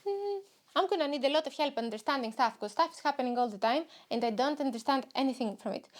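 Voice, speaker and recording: A young woman with a Greek accent hosts her podcast in a loose, rambling comic monologue. Her voice sits fairly high, and she mocks herself as she talks. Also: animated, fast. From Greece. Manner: rambling, self-mocking, jumps into silly voices. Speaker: podcast host.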